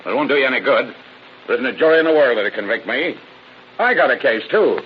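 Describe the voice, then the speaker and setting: Blustering hard-boiled male crook played by an actor, swinging pitch, muffled old radio crime drama. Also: animated, fairly quick, a little hiss or hum, natural voice. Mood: angry, defiant. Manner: blustering, combative. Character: defiant, sneering murder suspect, a hard-boiled crook. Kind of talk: 1930s-40s radio crime drama acting.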